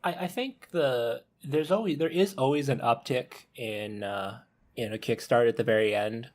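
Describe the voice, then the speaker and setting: Regular guy on a podcast panel, medium pitch, chatting casually. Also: normal speed. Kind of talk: casual discussion.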